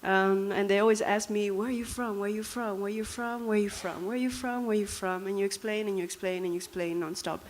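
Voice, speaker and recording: A young woman from Namibia speaks with a Dutch accent. She gives a personal talk to an audience in a reflective, conversational way, often pausing on small fillers. Her pitch sits in the middle range for a woman. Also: steady tone.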